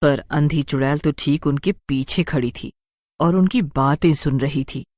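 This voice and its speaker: A woman's voice: deep voice, a little slow, darkish tone, no room sound, clean studio sound. A woman storyteller giving scripted, dramatic narration of a story.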